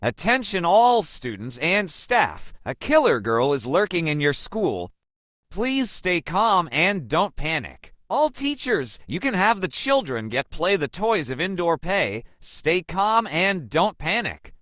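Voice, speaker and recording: High-pitched, animated male announcer. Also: takes it slowly, no room sound, studio quality. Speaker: school alert announcer. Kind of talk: scripted public announcement.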